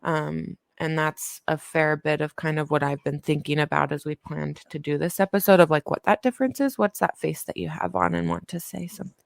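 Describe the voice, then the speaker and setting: A woman co-hosting a podcast in casual, tired-sounding chat that is relaxed and full of laughter. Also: sits low, brisk, creaky, few pauses, no room sound, clean studio sound. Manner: laughs often, relaxed and chatty. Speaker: podcast co-host.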